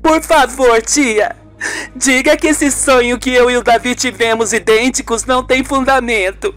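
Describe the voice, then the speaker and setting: A young niece in a radio soap, played by a woman. Her voice is pitched high, and she is emotional and pleading in a dramatic way. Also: unhurried, a bit bright, faint music behind. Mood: tearful pleading. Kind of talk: amateur radio soap acting.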